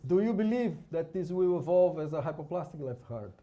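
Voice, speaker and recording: A man's voice: fairly high pitch, animated, fairly quick, mellow, lo-fi sound. A man from Brazil with a Brazilian Portuguese accent, speaking English as a conference speaker on fetal hearts, informal and full of restarts and tag questions. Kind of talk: conference lecture with live scan.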